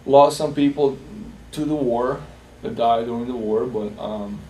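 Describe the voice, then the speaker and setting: A young man from Bosnia in a personal interview, speaking haltingly with many fillers and restarts. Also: medium pitch, mellow. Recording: roomy echo, distant from the mic, faint hiss.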